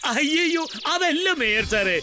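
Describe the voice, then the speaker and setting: A man's voice: very high voice, expressive, measured pace, sharp tone, heavily acted. A man voicing a fretful, apologetic robot builder in a children's cartoon dub, exclaiming and explaining in a flustered way. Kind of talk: dubbed children's cartoon acting.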